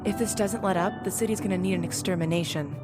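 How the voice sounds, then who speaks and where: A woman's voice: medium pitch, quick pace, natural voice. A woman acting a tough, cynical security guard in an audio drama, blunt, serious and protective. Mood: fed up. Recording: music behind the voice. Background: background music.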